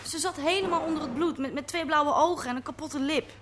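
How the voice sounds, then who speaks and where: A woman's voice: high-pitched, quick pace, background noise, lightly acted. A woman playing an indignant club worker. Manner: indignant, gossipy. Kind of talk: radio play acting.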